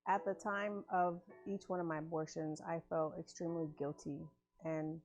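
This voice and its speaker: A woman in her thirties giving a quiet, earnest personal testimony. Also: deep voice, little pitch movement, moderate pace, lo-fi sound, soft background music. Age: 30s.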